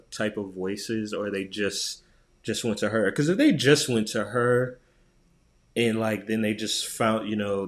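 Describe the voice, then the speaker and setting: Male podcast co-host, low-pitched, loose and slangy, works out thoughts aloud in casual conversation. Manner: informal, slangy, thinking aloud. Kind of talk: casual chat about tech.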